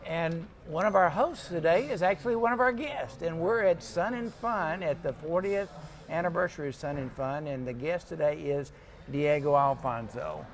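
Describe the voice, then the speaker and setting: A man's voice: fairly high pitch, moderate pace. A man hosting a casual on-location interview in a jokey, teasing way. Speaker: TV host and aviation producer. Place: aviation museum at an air show.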